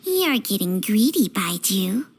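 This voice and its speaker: A woman voicing an ancient female snake spirit in a video game, wry, resigned and deliberate. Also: mid-range voice, very animated, a little hiss or hum. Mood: wry, chiding. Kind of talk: dramatic video game voice acting. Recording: background music under the voice.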